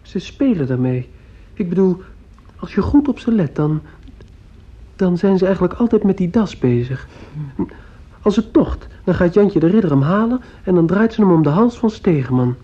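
A man's voice: high-pitched, big pitch swings, moderate pace, mellow. An actor playing a feverish fifteen-year-old boy in a radio play, earnest and dreamy, hesitantly groping for words. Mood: earnest, puzzled. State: ill, sore throat. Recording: dull lo-fi old radio recording.

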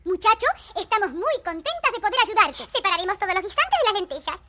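An actress voicing a tiny, eager, helpful ant in a children's audio fairy tale. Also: female voice, very high voice, very animated, fast talker, sharp tone, full character voice. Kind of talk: recorded children's audio storybook acting. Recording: slightly noisy old record.

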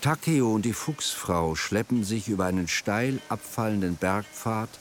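Casting: male narrator for a radio drama, lower register, reading scripted story over clearly audible music. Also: moderate pace.

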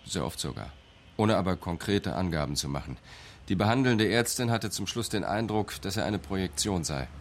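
An actor playing a composed, matter-of-fact police captain in a radio crime drama, giving an orderly briefing. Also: male voice, low-pitched, lively intonation, moderate pace, a little hiss or hum. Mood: factual briefing.

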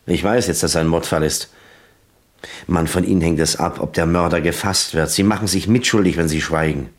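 A man acting an earnest, world-weary police lieutenant in a German crime radio play, deliberate and probing. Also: sits low, fairly even intonation. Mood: urgent.

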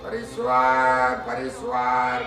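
Street news crier, high swooping calls, drawn out, heavy echo, music behind, 1950s radio play. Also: male voice, animated, slow pace. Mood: hawking, alarmed. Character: drawling street newspaper crier calling alarming headlines. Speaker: radio drama actor. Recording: distant, very echoey, loud music behind.

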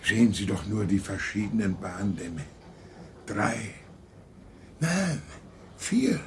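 An actor playing a courteous, effusive gentleman with a formal, gallant manner in a German radio drama. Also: fairly low pitch, sing-song, slow, noisy background, full character voice. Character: courteous, effusive gentleman proudly showing off his view.

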